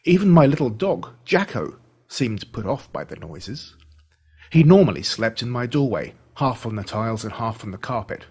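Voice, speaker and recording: An Australian man reading a scripted first-person story in an expressive, dramatic storytelling manner. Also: mid-range voice, moderate pace, slightly rough. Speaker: audiobook narrator.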